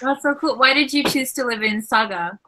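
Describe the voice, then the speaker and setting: A woman's voice: high voice, brisk, bright, forceful tone. A woman from Wisconsin in the United States, asking questions in a giggly, encouraging way on a video call. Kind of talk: casual video call chat.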